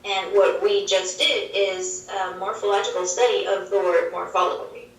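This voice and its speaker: A woman whose first language is Swedish, answering interview questions on linguistics over a video call, explaining in a measured way with examples. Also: medium pitch, steady tone. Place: played through a wall screen in a dim room. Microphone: video call heard over speakers. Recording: roomy, distant playback from a screen.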